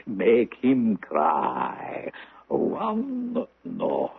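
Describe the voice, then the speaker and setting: A domineering, hypnotic maestro with a husky, rough voice that swings wildly from low to high. He is grandiose and volatile in the theatrical manner of 1970s radio drama. The old broadcast sounds muffled. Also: huge pitch swings, extremely slow, full character voice. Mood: commanding. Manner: theatrical, speaks of himself in third person. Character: domineering, mesmerizing male music master, grandiose and volatile.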